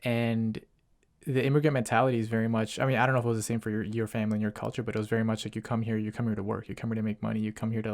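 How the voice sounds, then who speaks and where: A man from Ecuador hosting a podcast, talking in a relaxed, rambling way full of fillers. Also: fairly low pitch. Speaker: podcast host. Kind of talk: casual podcast interview.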